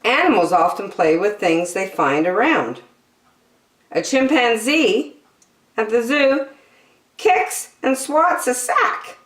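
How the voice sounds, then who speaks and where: A woman's voice: a little high, lively intonation, takes it slowly, a bit bright, roomy sound. A woman hosting story time, reading a picture book aloud to young children expressively, with chatty asides and questions.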